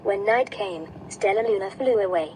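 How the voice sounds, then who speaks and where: A woman reading a children's story aloud as narrator, in an expressive storytelling manner. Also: mid-range voice, moderate pace, loud music behind the voice, low-quality recording. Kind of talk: children's read-along narration.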